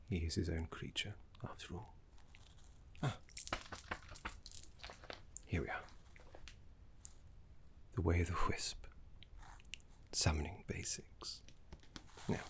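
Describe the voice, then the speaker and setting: Guy doing a fussy old necromancy professor, asmr roleplay, real slow half whisper, gentle n hesitant. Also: deep voice, exaggerated voice. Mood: fond, encouraging. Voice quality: breathy, half-whispered, slightly creaky. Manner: polite, hesitant, slow and deliberate. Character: gentle, fussy, scholarly older male necromancy teacher. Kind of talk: soft-spoken ASMR roleplay.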